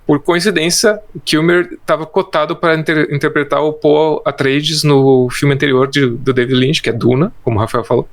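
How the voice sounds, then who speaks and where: A man's voice: fairly high pitch, steady tone, moderate pace, hardly any pauses. A man co-hosting a casual film podcast, reading trivia and quotes from his notes with hesitant restarts. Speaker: podcast co-host.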